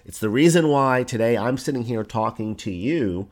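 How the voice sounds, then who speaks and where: A man talking into a podcast mic with a hoarse voice. He explains his points in an easy, conversational way and leans on simple examples. His pitch sits in the middle of the usual range for a man. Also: lively intonation, normal speed. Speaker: podcast host. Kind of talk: solo podcast talk on online business.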